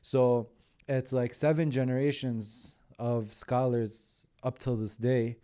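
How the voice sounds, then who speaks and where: A man hosting a podcast, asking curious, halting questions in a casual interview. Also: mid-range voice, level tone, dark tone, clear, resonant tone. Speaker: podcast host. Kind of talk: conversational interview.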